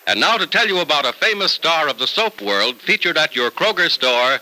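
Male vintage radio announcer and narrator, declamatory, very sharp and forceful, highly animated. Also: normal speed, poor audio. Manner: polished, declamatory delivery.

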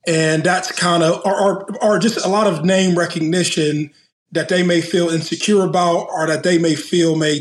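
A man co-hosting a podcast in a studio, laying out his points step by step in a thoughtful way. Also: on the high side. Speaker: podcast co-host. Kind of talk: casual chat, measured explanation.

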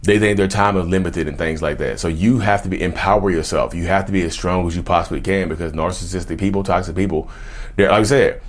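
A man's voice: low-pitched, fairly even intonation, fast talker. A man talks casually and straight to his viewers, in a colloquial, emphatic way, repeating phrases for stress. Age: late 30s. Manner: colloquial, folksy address to listeners. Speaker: vlogger speaking about his own narcissism. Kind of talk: casual direct-to-viewer talk.

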